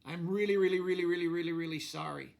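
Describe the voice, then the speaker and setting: A man's voice: sits high, fairly even intonation, fast, vocal fry, a little mellow. A man voicing a dramatic, earnest and remorseful little schoolgirl in a storybook reading. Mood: pleading.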